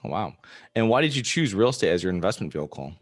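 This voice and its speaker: A man hosting a podcast, reading a brisk introduction and asking the guest questions. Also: low voice, sing-song, no echo. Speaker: podcast host. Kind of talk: interview hosting.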